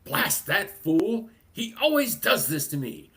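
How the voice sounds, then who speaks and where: A man's voice: high-pitched, sing-song, slow, bright, forceful tone, full character voice. A man playing a stern, exasperated warrior woman with archaic, scolding phrasing in a dramatic comic book table read.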